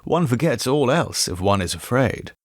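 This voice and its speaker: A man voicing a nervous gentleman who relishes being frightened, speaking eagerly and emphatically in an audiobook ghost story. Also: mid-range voice, big pitch swings, normal speed, no room sound, studio quality.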